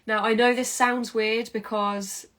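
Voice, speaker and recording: A woman's voice: on the high side, flat delivery, a little slow, fairly bright tone. A woman with a British accent, stuffy and nasal from a cold, giving a chatty hypnobirthing lesson with plenty of ums. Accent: British English. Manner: chatty, encouraging, with many ums. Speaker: hypnobirthing educator. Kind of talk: informal online teaching session.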